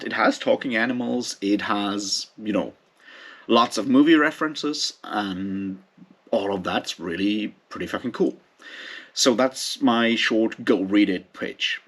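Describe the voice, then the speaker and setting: A man's voice: on the low side, fairly bright tone. A man giving a casual, unscripted book review as a host, speaking informally in rambling, hesitant phrases.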